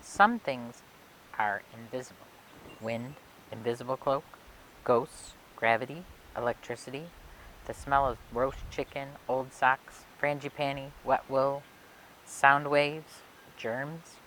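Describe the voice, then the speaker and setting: A woman reading a children's picture book aloud for a library storytime, expressively and phrase by phrase with emphatic pauses. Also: a bass voice, fairly even intonation, fairly bright tone, low-quality recording. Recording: clear background noise and hiss.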